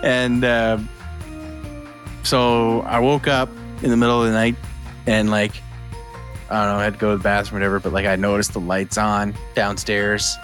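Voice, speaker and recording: A man co-hosting a casual podcast, telling a story with lots of fillers and laughter, sometimes dropping to a hushed voice. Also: lowish voice, little pitch movement, normal speed, soft background music. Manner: laughs often, rambling with many fillers, drops to hushed tones. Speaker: podcast co-host.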